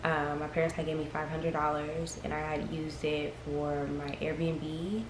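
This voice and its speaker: Low, flat-voiced young woman vlogging. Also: a little slow. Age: early 20s. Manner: chatty, rambling, frank. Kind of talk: casual chat to camera. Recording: echoey room with audible background noise.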